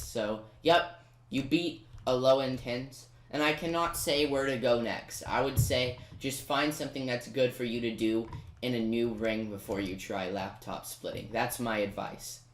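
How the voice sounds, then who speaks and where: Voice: medium pitch, normal speed, echoey room. A gaming commentator giving casual step-by-step gameplay guidance, informal and talking directly to the viewer. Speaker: video game commentator.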